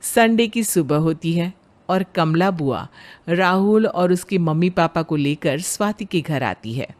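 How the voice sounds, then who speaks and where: A woman's voice: fairly low pitch, animated, a little slow, darkish tone. A woman narrator reading a scripted story in the third person.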